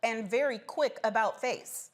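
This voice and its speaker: A woman's voice: on the high side, unhurried. A woman moderating a political panel show as host, conversational, informal and wry.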